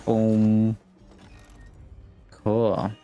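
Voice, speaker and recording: Male gaming host, lowish voice, informal and slangy, casual commentary over faint game music. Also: monotone, very slow, warm tone, muffled recording. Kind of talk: casual gameplay commentary, reading game text aloud.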